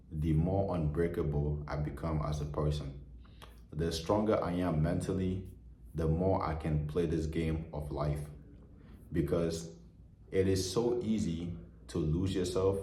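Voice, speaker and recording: A man's voice: deep voice, mellow, a little hiss or hum. A man in his twenties giving an earnest motivational heart-to-heart to camera, full of "you know" fillers. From Nigeria. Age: 20s. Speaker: vlogger. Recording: echoey room.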